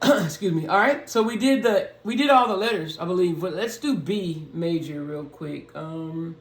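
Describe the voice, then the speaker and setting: A person with a low, deep, raspy voice gives a casual, step-by-step piano lesson for beginners and talks through each step. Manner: slow, talks through each step, sings scale notes on "ho". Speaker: piano learner teaching beginners. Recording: roomy echo with piano playing.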